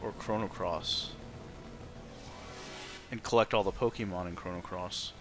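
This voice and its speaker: A man's voice: low-pitched, steady tone, a little slow. A man giving casual playthrough commentary as a gaming streamer. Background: faint game music.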